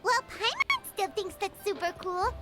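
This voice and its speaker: A woman voicing a tiny, childlike, eager fairy companion in an anime video game, exclaiming brightly as she talks. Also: very high voice, wildly swooping pitch, a little slow, heavily acted. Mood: excited, grateful. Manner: refers to self in third person, exclaiming. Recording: game music behind the voice.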